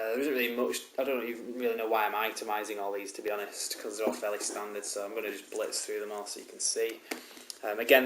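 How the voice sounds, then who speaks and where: Low-voiced man with a cold, casual rambling reviewer, echoey room with loud hiss. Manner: rambling, many ums and restarts. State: has a head cold. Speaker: DVD reviewer. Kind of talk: casual show-and-tell review. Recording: roomy echo, loud background hiss.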